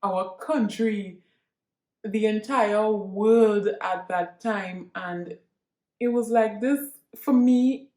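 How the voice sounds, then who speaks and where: A woman's voice: medium pitch, steady tone, drawn-out words, mellow. A Trinidadian woman vlogging to her viewers in an earnest, reflective monologue, often restarting and repeating herself as she gathers her thoughts. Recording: echoey room.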